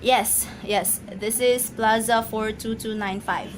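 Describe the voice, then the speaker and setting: A woman's voice: fairly high pitch, steady tone, takes it slowly, over music. A woman acting a nervous, demanding invalid wife in an amateur radio drama, complaining imperiously and emotionally. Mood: subdued.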